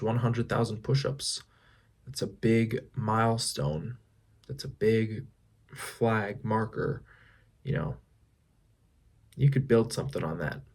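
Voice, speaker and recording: A man in his twenties talking through his thoughts in a casual vlog, musing with pauses and restarts. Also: mid-range voice, vocal fry. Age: 20s. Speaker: fitness vlogger. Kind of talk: reflective casual vlog monologue.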